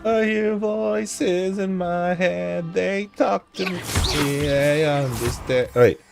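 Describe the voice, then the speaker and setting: Slow-talking goofy man streaming a game. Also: high-pitched, creaky, over music. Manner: goofy, sings and hums along, talks to himself. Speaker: streamer playing a video game. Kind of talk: casual gameplay commentary with singing.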